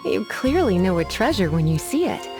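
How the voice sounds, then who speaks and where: A sultry, weary sorceress librarian. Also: female voice, medium pitch, big pitch swings, moderate pace. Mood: amazed. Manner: drawling, sultry, weary sighs. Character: languid, teasing female librarian-sorceress. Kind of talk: video game voice acting. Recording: game music underneath.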